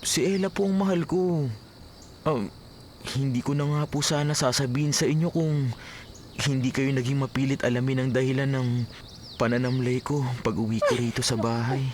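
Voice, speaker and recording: A man acts a lovelorn young son in a Filipino radio drama, medium in pitch. He is polite, hesitant and downcast, with clear chirping-insect noise behind him. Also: steady tone, normal speed, natural voice. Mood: dejected. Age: young adult.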